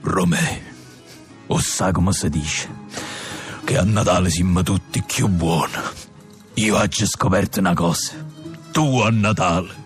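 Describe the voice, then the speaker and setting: An actor playing a jailed mob boss father with a Neapolitan accent, gruff and weary, in a comic radio drama. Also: low voice, measured pace, pauses often, faint music behind. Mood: exasperated.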